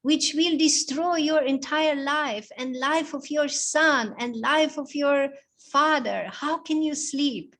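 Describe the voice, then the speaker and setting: A scheming palace maid who pushes and needles without letup, as a woman storyteller puts her on. The voice sits high and the delivery is slow and deliberate, every warning laid down firmly. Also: a bit bright, exaggerated voice. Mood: alarmed.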